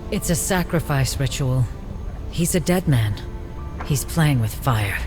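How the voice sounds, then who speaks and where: An actor playing a determined, blunt warrior hero in a video game, earnest and resolute. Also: male voice, medium pitch, lively intonation, measured pace, natural voice. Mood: urgent, resolute. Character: determined heroic male warrior, defiant and blunt. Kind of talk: dramatic video game acting. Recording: reverberant, music behind.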